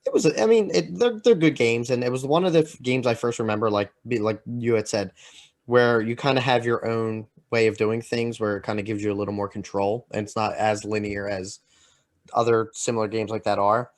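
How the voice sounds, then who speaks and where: A man chatting casually as a podcast panelist, halting and circling back on his sentences. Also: fairly low pitch, steady tone. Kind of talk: casual opinion chat.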